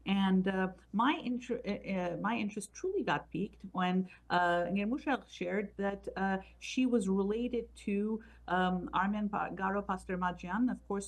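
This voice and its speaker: A woman from San Francisco, a community activist, speaking as a guest in a hesitant way with many fillers. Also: mid-range voice. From San Francisco, United States. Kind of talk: conversational interview answers.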